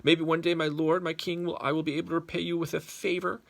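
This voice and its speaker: A man doing a tiny pleading bee, high. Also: quick pace, creaky. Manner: meek, pleading, flattering. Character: small young pleading male bee.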